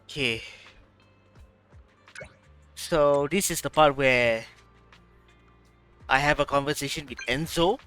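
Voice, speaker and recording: A man commenting casually on his game playthrough and reading on-screen narration aloud. His voice is bright and fairly high. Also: slow pace, pauses often, clean tone, soft background music. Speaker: gaming streamer.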